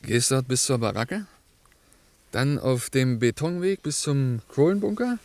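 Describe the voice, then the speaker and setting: An actor playing a talkative, teasing truck driver with a folksy manner in a radio play. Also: male voice, mid-range voice, lively intonation, unhurried, a little mellow, no echo. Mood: chatty, boastful. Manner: folksy, talkative, tags sentences with questions. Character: chatty, teasing truck driver and family man. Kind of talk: German radio play acting. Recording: clear vehicle and outdoor noise behind.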